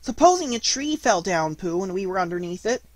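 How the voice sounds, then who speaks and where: Guy doing a tiny anxious storybook pig, high lively voice, earnest and polite. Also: a little fast, dry recording.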